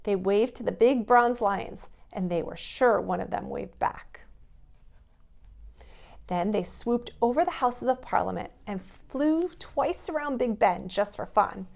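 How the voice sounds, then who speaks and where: A woman reading a storybook aloud to young children in an expressive storytelling manner. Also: mid-range voice, measured pace, gravelly.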